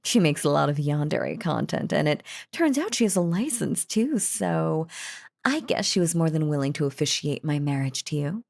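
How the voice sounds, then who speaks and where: A woman acts out a possessive yandere wife in an intimate audio roleplay. Her voice sits a little low for a woman and crackles with fry. She dotes and gushes over her husband, sweetly menacing and cheerfully unhinged. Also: animated, moderate pace, a little mellow. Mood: gushing, lovestruck. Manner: doting, gushing, cheerfully unhinged. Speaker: voice actor.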